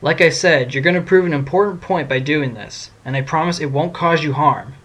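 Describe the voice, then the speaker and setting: Man playing confident, higher-voiced lawyer fox. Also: brisk, a bit bright. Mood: assured. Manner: explanatory, assured. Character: confident, wry male fox defense lawyer. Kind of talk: amateur audio drama acting.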